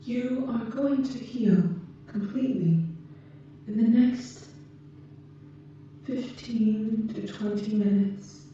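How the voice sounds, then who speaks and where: A very soft, dark-voiced female alien, acted by a woman, tends to a patient in an ASMR roleplay. She speaks slowly and gently, with formal, measured wording and a calm, caring temper. The recording is very echoey, as if at some distance from the mic. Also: on the high side. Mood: calm, reassuring. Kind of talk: ASMR roleplay, scripted monologue to the listener. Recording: muffled, echoey, distant, faint noise.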